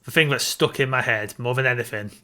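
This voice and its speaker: A man's voice: medium pitch, fast talker, pushed and bright. A man co-hosting a casual film discussion, giving his opinions and repeating points for emphasis. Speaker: podcast co-host.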